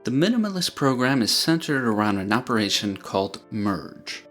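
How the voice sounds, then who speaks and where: A man narrating an explanatory video, speaking in a clear, measured way and walking through ideas step by step. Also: medium pitch, sing-song, fairly bright tone, soft background music.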